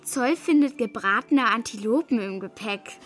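An actress playing a cheeky, eager young granddaughter in a children's radio play, casual and youthful. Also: female voice, fairly high pitch, normal speed, faint music behind, lightly acted. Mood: gossipy.

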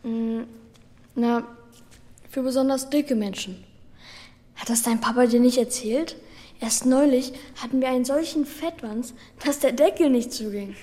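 A thoughtful, somewhat sad child acted by a woman in a radio play. The voice is high and mellow, with hesitant, matter-of-fact delivery. It rings in a long hall reverb. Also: a little slow, lightly acted. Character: Bela, sad thoughtful child with a comatose brother.

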